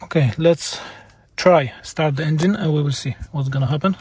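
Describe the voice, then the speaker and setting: A man with a Polish accent, a native Polish speaker, explaining car repairs informally as a mechanic in halting, non-native English. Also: a little high, a little mellow, breathy edge, no echo.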